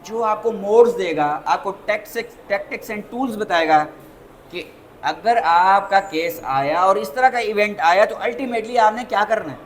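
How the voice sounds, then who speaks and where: A man's voice: sits high, measured pace. A man with a Pakistani accent giving an interactive lecture as a lawyer, repeating points and asking rhetorical questions, mixing in English terms.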